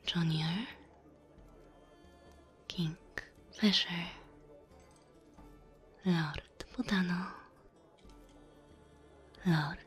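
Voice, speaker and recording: A woman from Slavic Europe giving a soft, breathy, gentle ASMR outro of thanks, often in a whisper. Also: lowish voice, drawn-out words, long pauses, soft background music. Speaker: ASMR channel creator.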